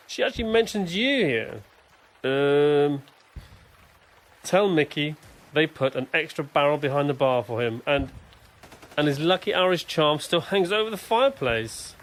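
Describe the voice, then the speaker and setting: An actor playing a fond young corporal and father-to-be in a war radio drama, with a gentle, hesitant delivery. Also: a little high.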